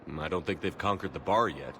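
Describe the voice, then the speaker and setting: A military commander in a video game cutscene. His voice is very low, with a calm and steady delivery. He keeps things concise, sincere and supportive while music plays underneath. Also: fast talker. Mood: bantering.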